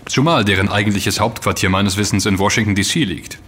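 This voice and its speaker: An actor playing a determined, brooding investigator who speaks gravely and with self-assurance in a German audio drama. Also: male voice, fairly low pitch, fairly quick. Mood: cool, firm. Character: defiant, determined male investigator, brooding hero. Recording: loud traffic and car noise behind.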